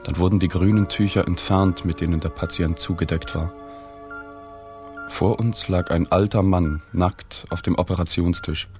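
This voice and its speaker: An actor playing a sober, observant journalist in a literary radio drama, measured and reflective. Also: male voice, low voice, steady tone, a little mellow, faint music behind, lightly acted. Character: sober, observant male journalist recounting a reportage. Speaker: narrator and journalist.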